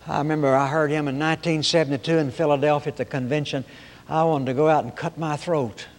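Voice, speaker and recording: High nasal preacher. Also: male voice, fairly even intonation, quick pace, in a large hall. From Oklahoma, raised in Arkansas. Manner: folksy storytelling, acts out voices. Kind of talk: sermon.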